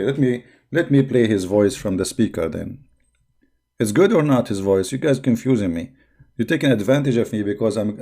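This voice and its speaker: A man's voice: fairly low pitch, dark tone. A male host commenting on a played video. He mocks the speaker with sarcasm and talks directly to his viewers. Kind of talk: casual reaction commentary on a livestream.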